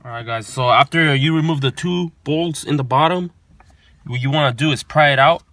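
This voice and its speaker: A man's voice: fairly high pitch, normal speed, a bit bright. A man hosting a car installation tutorial, explaining each step casually and informally, repeating himself as he goes. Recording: noisy, clicks and vehicle sounds behind.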